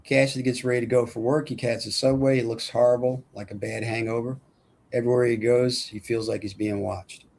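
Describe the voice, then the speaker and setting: A man's voice: mid-range voice, flat delivery, brisk, creaky, darkish tone. A man narrating stage directions at a film script table read in a plain, matter-of-fact way.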